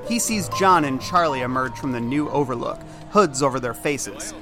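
A man's voice: medium pitch, lively intonation, moderate pace. A man reading scripted, dramatic narration as the narrator of an audio drama. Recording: loud music under the voice. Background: loud music.

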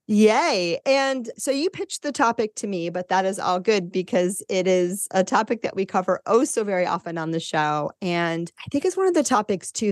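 A woman's voice: lowish voice, no room sound, clean studio sound. A woman hosting a spiritual podcast as an intuitive counselor, chatty and upbeat as she introduces her guest. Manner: bubbly and upbeat, chatty with fillers. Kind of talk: podcast hosting, casual chat.

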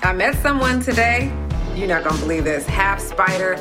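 A woman's voice: mid-range voice, expressive, normal speed, lightly acted. A woman playing an anxious amateur investigator in an audio drama trailer. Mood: uneasy, wry. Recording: loud music behind.

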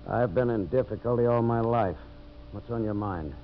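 Man playing a low, sardonic timber boss. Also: moderate pace, lightly acted. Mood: curt, sardonic. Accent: American. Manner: curt, dry sarcasm. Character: gruff, sardonic embattled timber businessman. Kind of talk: 1950s radio drama acting. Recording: muffled, very hissy vintage radio recording.

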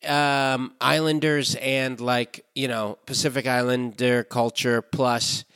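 A man's voice: mid-range voice, level tone, slow, fairly bright tone, clean tone, no room sound. A man co-hosting a podcast remotely from a hotel room, talking casually and rambling with lots of fillers. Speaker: podcast co-host. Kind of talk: casual chat. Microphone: remote call from a hotel room.

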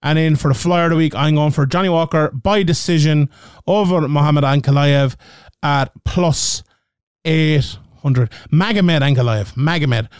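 A man's voice: on the high side, clean tone, dry recording, clean studio sound. An Irish man hosting a casual betting show, thinking aloud and checking the odds as he talks. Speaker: host. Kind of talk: casual betting picks talk.

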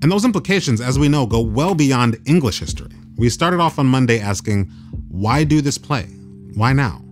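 Animated male host, music underneath. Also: medium pitch, moderate pace. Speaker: podcast host. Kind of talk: reflective introduction to a radio play.

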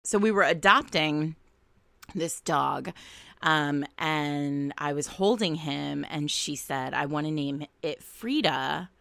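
A middle-aged woman podcast host chatting casually and telling anecdotes in an animated way, acting out the dialogue. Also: sits low, slow pace, creaky, no room sound. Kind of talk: casual conversational storytelling.

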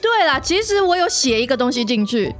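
High-pitched Taiwanese woman podcast host. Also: very animated, brisk, faint music behind. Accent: Taiwanese Mandarin. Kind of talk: casual, cheerful podcast chat.

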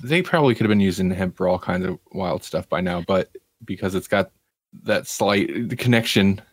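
A man's voice: sits low, expressive, moderate pace, breathy edge, no echo, clean studio sound. A man hosting a podcast in casual, laid-back, informal chat. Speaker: podcast host.

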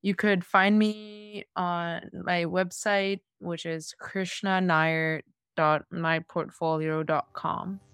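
A young woman from India introduces herself hesitantly, with fillers. She speaks slowly in a very clear voice. The recording is dry and close. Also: on the low side, level tone, fairly bright tone, no echo. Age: young adult. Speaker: design student guest.

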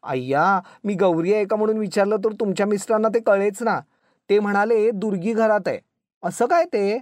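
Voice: mid-range voice, moderate pace, darkish tone, dry recording, clean studio sound, pitched above the performer's own voice, close to an everyday voice. A narrator voicing a bold, cheerful young woman neighbour with a breezy, forthright manner. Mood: cheerful, amused.

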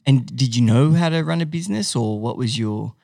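Gravelly-voiced male interviewer. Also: mid-range voice, animated, brisk, mellow, dry recording, studio quality. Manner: rambling questions with stumbles. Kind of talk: casual interview questions.

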